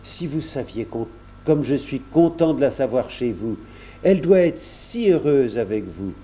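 A man voicing an affectionate, excitable seventeen-year-old boy who gushes with gratitude in a storybook reading. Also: medium pitch, a little slow, close to an everyday voice. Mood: grateful, eager. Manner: gushing exclamations.

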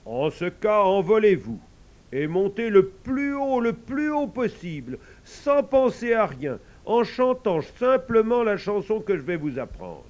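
Loud grand old pope, stern, booming, guy doing the voice in a read-aloud story. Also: sing-song, measured pace, muffled recording. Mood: stern grandeur.

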